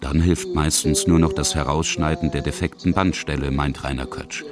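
A man's voice: rumbling low, fairly even intonation, moderate pace, music in the background. A man reading scripted documentary narration as the narrator and interviewer of a feature.

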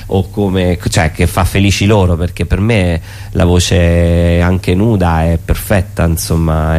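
A man being interviewed as a theatre performer, speaking spontaneously and haltingly, often correcting himself as he thinks aloud. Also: sits low, a little mellow.

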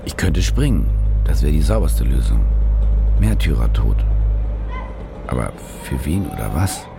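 Brooding, low, creaky-voiced trapped reporter. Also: male voice, big pitch swings, unhurried, full character voice. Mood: darkly brooding. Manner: muttering, brooding, talking to himself. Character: cynical, desperate, self-loathing German war reporter, trapped. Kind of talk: radio drama acting, interior monologue.

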